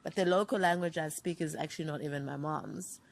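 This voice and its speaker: A woman in her thirties from Zambia, a writer and producer recalling her childhood in a relaxed interview. She talks in a reflective way, reeling off long runs of names. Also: sits low, a little fast, gravelly. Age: 30s.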